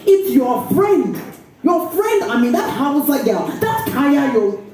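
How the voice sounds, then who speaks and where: Lady doing a bossy scornful aunt from a story, accusing, mellow tone, super echoey room. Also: sits high, big pitch swings, slow, soft background music, pitched above the performer's own voice, heavily acted. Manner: sharp, accusing, dismissive. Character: stern, scornful aunt, a shopkeeper accusing a girl. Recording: very echoey room, faint noise.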